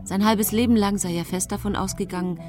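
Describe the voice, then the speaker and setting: An actress playing a bereaved, secretly calculating mother, telling her story in measured, solemn radio drama narration. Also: mid-range voice, fairly even intonation, music in the background, natural voice. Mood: controlled.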